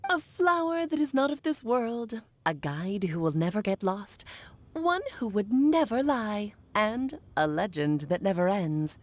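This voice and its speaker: A woman voicing a playful, wise, centuries-old mage in a video game, telling her tales theatrically with emphatic pauses. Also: mid-range voice, sing-song, no echo. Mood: mysterious, teasing.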